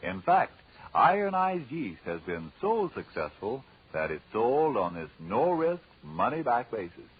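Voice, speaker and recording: A man working as a 1940s radio announcer, delivering polished, emphatic sponsor pitches. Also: sing-song, measured pace. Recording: muffled, husky-sounding 1940s radio recording.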